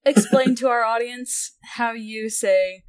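A woman's voice: fairly high pitch, slow pace. A young woman co-hosting a podcast in casual chat, teasing and blunt. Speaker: podcast co-host. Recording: poor audio quality.

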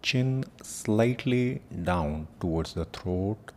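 Man doing guided meditation, super slow, dark mellow voice, long pauses, some rumbly noise behind him. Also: expressive. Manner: soft-spoken instruction with long pauses. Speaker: meditation teacher. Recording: noisy with low rumble.

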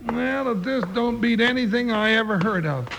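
An actor playing a folksy, hungry, good-natured but grumbling cowboy sidekick with an American Western drawl, in old-time radio style. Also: male voice, normal speed, vocal fry, over music. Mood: indignant. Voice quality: high-pitched comic voice. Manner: folksy, exclaiming, hesitant and bewildered. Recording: noisy vintage radio recording.

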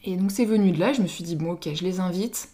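A French woman with a slightly low voice talks as a guest in a relaxed conversational interview. Her delivery is informal and chatty, and she often voices her own thoughts as quotes. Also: quick pace. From Paris, France. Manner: informal, chatty, self-quoting. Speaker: interview guest, social media influencer.

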